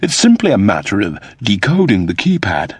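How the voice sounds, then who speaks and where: A man's voice: lowish voice, huge pitch swings, moderate pace, slightly rough, no room sound, heavily acted. A man voicing a fussy, polite robot servant with a precise, explanatory manner, in an audiobook story. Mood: earnest, explanatory.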